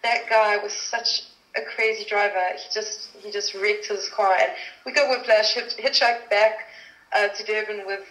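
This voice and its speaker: A woman's voice: mid-range voice, fairly even intonation, normal speed, fairly bright tone. A woman from South Africa, a guest actress telling stories in a casual interview, chatty and laughing often. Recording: echoey room.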